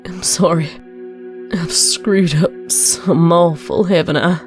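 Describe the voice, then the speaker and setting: A woman's voice: on the low side, fairly even intonation, a little slow, natural voice. An anxious, stubborn young mare from a farm, played by a woman, talks in a folksy Southern US accent. Her uneasy lines belong to a dramatic horror story reading, with music faintly underneath. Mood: remorseful. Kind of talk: dramatic fan fiction reading.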